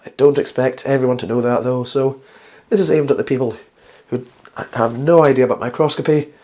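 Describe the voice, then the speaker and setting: A man with a slightly croaky, flu-worn voice hosts a casual instructional science vlog, chatty and rambling with wry, self-deprecating asides. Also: mid-range voice, steady tone, a little fast, darkish tone. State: getting over the flu. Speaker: host of a science video series.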